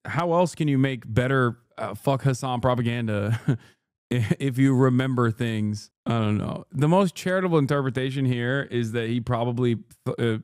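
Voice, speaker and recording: A man's voice: medium pitch, normal speed, a little mellow, smooth, no echo, studio quality. A man in his thirties, a streamer, ranting in heated, profane reaction commentary and laughing as he talks. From Turkey. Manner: laughs through his lines.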